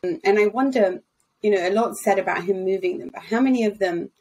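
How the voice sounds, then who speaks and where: A woman's voice: medium pitch, a little fast, darkish tone, breathy edge, low-quality recording. A woman in her thirties co-hosting a podcast over a video call, musing aloud and asking open questions. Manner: musing, asks open questions, laughs easily. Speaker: podcast co-host. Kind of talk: casual podcast discussion. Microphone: earphone mic on video call.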